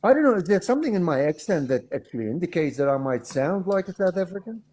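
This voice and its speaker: A man with a fairly high, very soft and mellow voice, talking casually on a live call. He explains at length in a rambling, repetitive way. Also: sing-song, fast talker. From Australia (resident). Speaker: livestream discussion participant. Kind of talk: casual chat. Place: livestream call.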